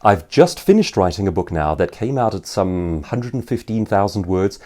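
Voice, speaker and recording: Male seminar speaker, very lively intonation, mellow tone, articulate and emphatic, storyteller's phrasing. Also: low-pitched, normal speed. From South Africa. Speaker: author and professional speaker. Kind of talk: informal seminar answering viewers' questions.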